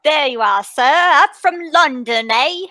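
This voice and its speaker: Woman doing a young newsboy bartender, slow, folksy, dry close sound, radio play. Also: high voice, lively intonation, pushed and bright, dry recording, heavily acted. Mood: matter-of-fact. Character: young male pub bartender, chirpy newsboy type. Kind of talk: radio play table read.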